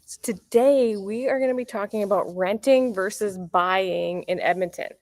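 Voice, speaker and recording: A woman's voice: medium pitch, normal speed, dry recording. Upbeat woman realtor host. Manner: chatty, upbeat, self-correcting. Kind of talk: casual real estate chat. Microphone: computer mic near a keyboard.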